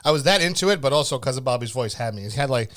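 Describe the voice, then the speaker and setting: A man rattles along at a fast clip as a podcast co-host, excitable and quick to swear and joke. His voice sits dry and close on a studio microphone in a basement studio. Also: mid-range voice, no echo. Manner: profane, joking, excitable. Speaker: returning co-host. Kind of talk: casual chat.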